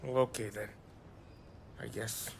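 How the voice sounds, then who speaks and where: A man's voice: fairly low pitch, steady tone, unhurried, gravelly. An actor playing a very drunk, childish man who rambles and slurs in a video game. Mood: drunk, resigned. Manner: slurred, loud drunken rambling. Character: very drunk, childish, surly male buddy. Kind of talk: cinematic video game acting. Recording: loud noise behind the voice.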